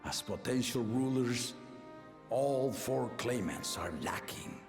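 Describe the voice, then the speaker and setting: An actor playing an aging, battle-loving king and father in a video game, grave and shrewd, with a measured, regal delivery. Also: mid-range voice, reverberant, big-room sound, music in the background. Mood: grave, commanding. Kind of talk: scripted video game voice acting.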